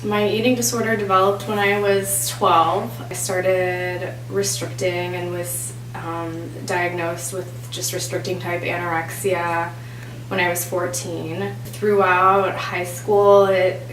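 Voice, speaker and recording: A woman in her thirties talks in a low, flat, matter-of-fact voice, sprinkled with fillers. The recording is roomy and echoey, and she sits away from the microphone with faint noise behind her. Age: 30s. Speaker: interviewee. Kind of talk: documentary interview. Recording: echoey room, voice from a distance.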